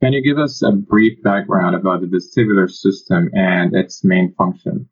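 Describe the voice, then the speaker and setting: A man's voice: low-pitched, normal speed, breathy edge. A man hosting an interview, politely thanking his guest and asking a question. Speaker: interview host. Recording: dull lo-fi audio.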